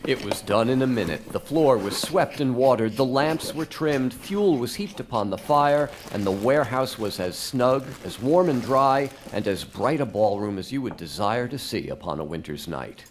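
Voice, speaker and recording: A man giving expressive scripted narration in a live radio drama. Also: medium pitch, normal speed, few pauses, a little hiss or hum. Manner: expressive storytelling. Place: live stage performance.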